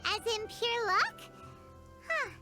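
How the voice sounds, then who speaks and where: A woman voicing a tiny, cheeky, excitable childlike fairy companion in a video game, with a squeaky voice. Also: slow pace, full character voice. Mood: skeptical. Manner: squeaky, speaks of herself by name. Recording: loud game music behind the voice.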